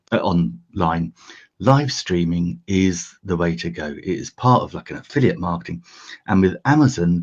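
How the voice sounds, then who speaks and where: A man from the UK hosting a casual how-to live stream, his voice croaky this early, chatty with lots of fillers and restarts. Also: low-pitched, a little mellow, lo-fi sound. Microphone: desk USB microphone.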